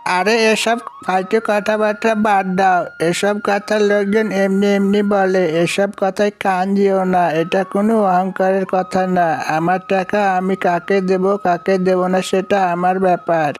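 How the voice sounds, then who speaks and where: A woman's voice: medium pitch, level tone, measured pace, few pauses, soft background music, lightly acted. A woman playing a selfish, dismissive married man in a cartoon story, full of excuses. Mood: dismissive, arrogant.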